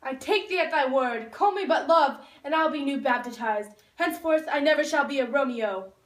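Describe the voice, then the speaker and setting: A woman's voice: high voice, normal speed, natural voice. A woman voicing a lovestruck young Romeo puppet, reciting ardent Shakespearean verse from a script in an amateur school show. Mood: earnest. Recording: echoey room, far from the mic.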